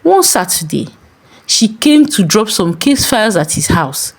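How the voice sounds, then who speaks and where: A Nigerian woman tells a scripted story in a dramatic style. Her pitch is very lively, and she leaves deliberate pauses for weight. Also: husky.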